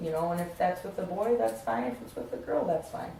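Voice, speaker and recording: A woman's voice: lowish voice, brisk, creaky, mellow. A woman playing a supportive, earnest mother in a family therapy role-play, talking in a reassuring way. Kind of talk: role-played therapy session. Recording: very echoey room, distant mic, faint noise.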